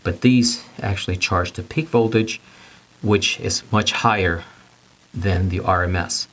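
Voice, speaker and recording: Husky-voiced man teaching HVAC basics. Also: low-pitched, steady tone, a little slow, fairly bright tone. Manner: plain-spoken, repeats key points, asks and answers his own questions. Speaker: HVAC instructor. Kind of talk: teaching to camera. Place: studio in front of a whiteboard.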